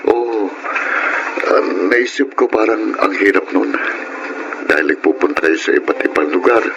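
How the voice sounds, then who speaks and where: An actor playing an earnest, generous eldest brother in a Filipino radio drama, speaking politely and sincerely with a Filipino accent. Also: male voice, sits low, animated, normal speed, muffled recording, background noise. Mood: earnest, shy.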